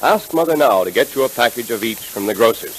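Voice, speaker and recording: A man announcing in 1930s radio style, making an emphatic, enthusiastic scripted pitch to children. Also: animated, fast, low-quality recording. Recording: hissy 1930s radio recording.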